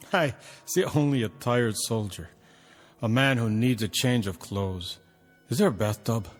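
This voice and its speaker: An actor playing a weary, good-humoured, self-deprecating rebel soldier in a mid-century television drama. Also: male voice, medium pitch, measured pace, faint music behind, close to an everyday voice. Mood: groggy, genial.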